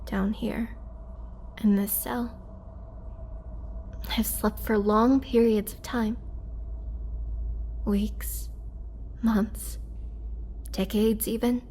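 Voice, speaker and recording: A woman playing a gentle, sorrowful, youthful-looking but centuries-old imprisoned vampire, telling her story in a hushed, halting way for a dramatic roleplay. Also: on the high side, flat delivery, slight background noise. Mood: somber.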